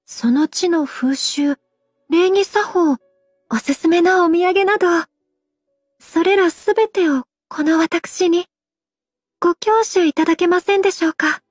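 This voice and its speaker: A woman's voice: very high-pitched, measured pace, no echo, studio quality, soft background music. An actress voicing a refined, polite young noblewoman in a game voice line, speaking very formally. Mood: gracious. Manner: very formal, courteous.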